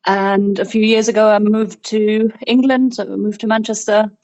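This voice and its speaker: A woman with a German accent and German as her first language, a podcast guest who talks about her cycling trip in a careful, measured way over a remote call. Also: mid-range voice, level tone, a little mellow, no echo. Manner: careful, measured, some hesitations. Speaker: guest, cycle tourer. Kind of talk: casual interview talk. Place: remote call while travelling. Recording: dry, muffled lo-fi call audio.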